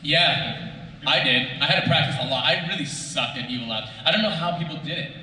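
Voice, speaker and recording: Male panelist, bright and chatty, unscripted, distant mic in a reverberant room. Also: on the high side, fairly quick. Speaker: voice actor on a panel. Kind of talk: casual unscripted panel talk. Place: large convention panel room, audience questions. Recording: distant, echoey hall, faint noise.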